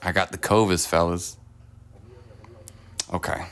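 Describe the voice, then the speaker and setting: A middle-aged man hosting a casual livestream from his home table, rambling and self-deprecating, his voice deepened while getting over an illness, recorded on a phone. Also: fairly even intonation, measured pace, fairly bright tone, clean tone. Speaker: streamer host. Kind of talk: casual livestream chat while painting miniatures. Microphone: phone on a clamp arm.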